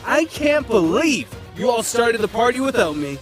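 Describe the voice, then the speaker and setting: A man's voice: very high voice, big pitch swings, moderate pace, faint music behind. A man playing an earnest, determined young fire-wielding superhero in an audio drama, with dramatic, heartfelt delivery. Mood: eager.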